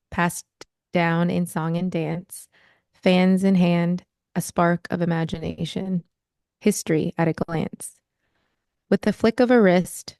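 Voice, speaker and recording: A young American woman with a smooth, lowish voice reads her poem in a measured, rhythmic way, then talks it over thoughtfully. The sound is dry and close, as on a video call. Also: a little mellow, dry recording. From United States. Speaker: author. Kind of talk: poetry reading and interview.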